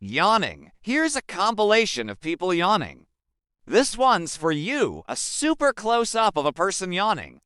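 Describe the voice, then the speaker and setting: A man narrating a short playful sample clip with a drawn-out, teasing delivery. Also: high-pitched, wildly swooping pitch, a bit bright, clear, resonant tone, no echo, studio quality.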